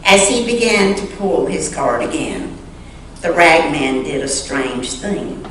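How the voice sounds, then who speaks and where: A woman reading a story aloud in a dramatic, deliberate way, pausing expressively between short phrases. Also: low-pitched, lively intonation. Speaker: church member reading a story to the congregation. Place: large church sanctuary. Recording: echoey church sanctuary, distant mic, faint noise.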